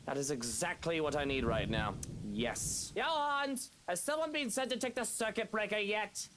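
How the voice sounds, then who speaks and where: An actor playing a haughty, irritable rich master of a mansion in a dramatic game, sneering and theatrical. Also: male voice, sits high, lively intonation, moderate pace, noisy background. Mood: exasperated, shouting. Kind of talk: dramatic visual novel voice acting. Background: game music.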